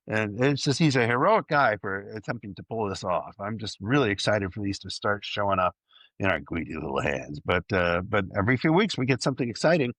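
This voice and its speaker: A man's voice: lowish voice, fairly bright tone, no echo. A man presenting a fan news segment as a podcast host, partly scripted, with playful enthusiasm and wry asides.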